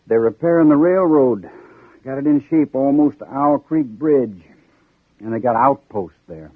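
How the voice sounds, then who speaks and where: An actor playing a polite, folksy corporal with a drawl and a sly purpose, in old radio drama style. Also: male voice, mid-range voice, lively intonation, unhurried, no echo. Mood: grave, sly. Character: folksy Southern corporal, secretly a cunning Union spy. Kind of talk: 1940s-50s radio drama acting. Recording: muffled narrow-band old radio recording.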